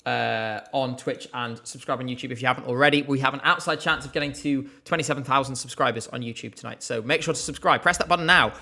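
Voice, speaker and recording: A man's voice: medium pitch, fast, gravelly, hardly any pauses, sharp tone. A man hosting a casual live stream, chatting in a rambling way with lots of fillers and a slight cough. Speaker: livestream host.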